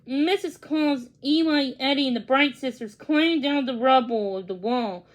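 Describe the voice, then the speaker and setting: A woman reading a children's storybook aloud, in a gentle, measured way. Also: high voice. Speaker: storybook reader. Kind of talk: children's read-along narration.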